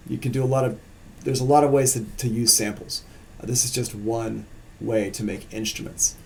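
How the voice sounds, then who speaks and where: A man teaching music production in a casual, relaxed live-streamed software tutorial, explaining as he works on screen. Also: fairly low pitch, gravelly, a little hiss or hum.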